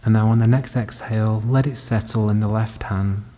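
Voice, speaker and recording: A man leads a guided body scan meditation, speaking calmly and gently with long, unhurried pauses. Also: fairly low pitch. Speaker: meditation teacher. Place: indoor room at a wellness centre. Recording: reverberant hall, faint background noise.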